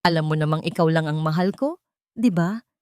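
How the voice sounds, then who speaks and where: A woman's voice: deep voice, fairly quick, a little mellow, no room sound, natural voice. Audio drama role: manipulative husband read by a woman, desperate, wheedling and blustering, dry close recording. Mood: pleading. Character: desperate, manipulative, cheating husband, adult man.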